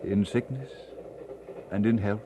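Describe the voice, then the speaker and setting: A man's voice: fairly low pitch, unhurried, narrow, tinny audio, lightly acted. A man playing a good-humored young Scottish minister in a radio drama, polite and affectionate with an absent-minded streak. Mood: solemn. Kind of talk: old-time radio drama acting. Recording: noisy old radio drama recording.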